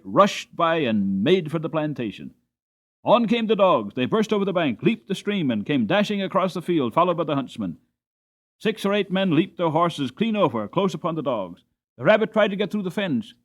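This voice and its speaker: A man narrating a storybook dramatically, with expressive, deliberate phrasing. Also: a little high. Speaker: audiobook narrator.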